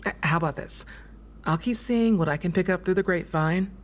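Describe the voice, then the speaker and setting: Woman acting as supportive confidante, low-pitched, calm, patient, gentle, naturalistic audio drama. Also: brisk, close to an everyday voice. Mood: patient, reassuring.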